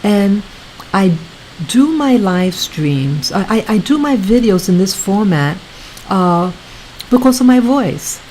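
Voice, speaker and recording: Slow, soft-spoken woman vlogger. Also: medium pitch, lively intonation, slight background noise, rough recording. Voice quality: soft, soothing voice. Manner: slow, repetitive, halting with many restarts. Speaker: YouTube channel host. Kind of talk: casual talk to viewers.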